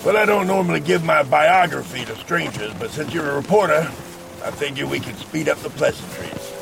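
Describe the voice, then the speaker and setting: An actor playing a gruff, boastful older hunter and former harpooner in a western audio drama, folksy and self-assured. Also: male voice, a little high, brisk, background noise. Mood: proud, storytelling.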